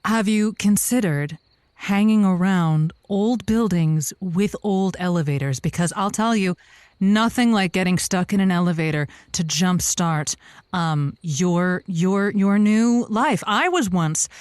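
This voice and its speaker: A woman's voice: medium pitch, unhurried, darkish tone, clean tone, no echo, natural voice. Woman as a worldly advice host, dry studio sound. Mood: wistful, playful. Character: worldly, encouraging female radio advice host. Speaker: radio advice show host. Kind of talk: comedic audio drama acting.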